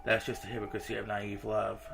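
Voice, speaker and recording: A man's voice: lowish voice, completely flat delivery, a little fast, creaky, background noise. A man reading visual novel dialogue aloud as a game streamer, in a casual, informal manner. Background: visual novel game music.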